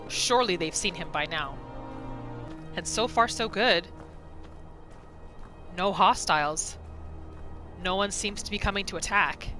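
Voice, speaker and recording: Gamer woman doing roleplay commentary, bright clear-cut voice, normal pitch, soft music in the back. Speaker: gaming video creator, roleplayer. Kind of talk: roleplay gameplay commentary, narrating her character in third person. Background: faint music.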